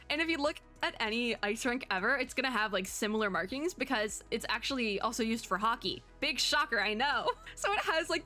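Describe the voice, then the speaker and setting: A woman gaming vlogger giving casual reaction commentary to viewers in a giggly, excitable, rambling way. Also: fairly high pitch.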